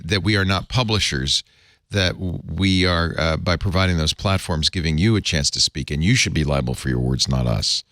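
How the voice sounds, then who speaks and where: A man hosting a casual tech talk show, animated and opinionated, discussing his own company's forums. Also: low-pitched, no room sound, clean studio sound. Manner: lively, animated retelling with quoted voices. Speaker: podcast host.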